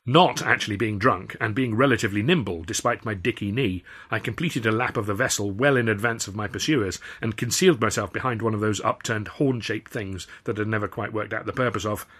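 A man reading his own comic novel aloud as a first-person storyteller, in animated audiobook narration. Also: lowish voice, brisk, few pauses, slightly rough.

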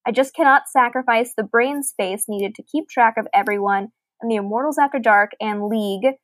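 A woman's voice: fairly high pitch, moderate pace, fairly bright tone, no room sound. A woman co-hosting a podcast, reading aloud and chatting about books in an articulate, conversational way. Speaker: podcast co-host. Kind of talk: reading listener email aloud and casual book discussion.

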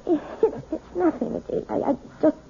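An actress playing a gentle, frightened female piano teacher in a 1970s radio drama, hesitant and stammering. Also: sits high, measured pace, vocal fry. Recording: tinny lo-fi old radio broadcast with faint hiss.

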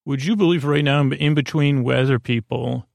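A man hosting a bedtime podcast in a gentle, meandering monologue, hesitant and often losing his train of thought. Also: mid-range voice, moderate pace, no echo. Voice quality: creaky. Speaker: host of a bedtime sleep podcast.

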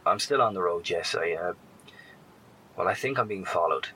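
A man playing a wary, kind survivor in a post-apocalyptic horror audio drama, speaking in a hesitant, measured, reassuring way. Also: low-pitched, lively intonation, bright, forceful tone, a little hiss or hum, poor audio. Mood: uneasy. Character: wary, kind male survivor scouting the wasteland by radio. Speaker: actor.

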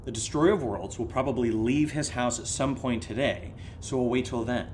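A man's voice: moderate pace, natural voice. Impulsive, goofy young American schemer played by a man. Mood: excited, eager. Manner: casual, rambling, excitable. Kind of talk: naturalistic comedic audio drama dialogue. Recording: low-level home recording, faint noise.

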